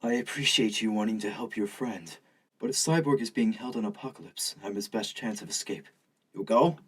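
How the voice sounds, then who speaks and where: A man's voice: fairly low pitch, fairly quick, background noise, close to an everyday voice. A man playing an earnest, urgent superhero in a comic book drama. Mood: earnest, subdued. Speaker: fan dub voice actor.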